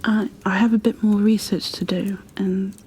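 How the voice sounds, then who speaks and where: A woman playing a gentle, protective creature bookkeeper in a soft-spoken ASMR roleplay, hushed, soothing and a little hesitant. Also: medium pitch, level tone, normal speed, slight background noise, natural voice. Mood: soothing, sad.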